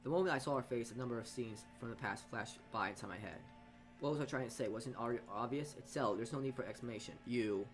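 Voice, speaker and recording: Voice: medium pitch, fast talker, faint music behind. A gaming video host reading story text aloud dramatically and dropping in casual, blunt asides.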